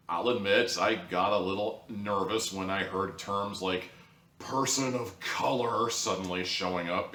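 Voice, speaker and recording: Male reviewer, lowish voice, casual and bluntly sarcastic delivery, recorded off mic in a roomy space. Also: fairly bright tone, distant mic. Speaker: online audio drama reviewer. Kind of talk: casual opinionated review commentary. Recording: echoey room, off mic.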